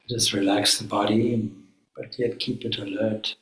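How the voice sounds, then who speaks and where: A man leading a guided meditation as a spiritual teacher, speaking calmly in measured phrases with frequent pauses. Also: on the low side, background noise. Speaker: swami, guided meditation leader. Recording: echoey room.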